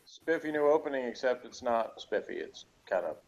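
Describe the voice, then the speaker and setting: A man's voice: mid-range voice, lively intonation, normal speed, vocal fry, a little mellow. A man chatting casually as a podcast reviewer, with a dry, teasing manner. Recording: dull lo-fi voice recording.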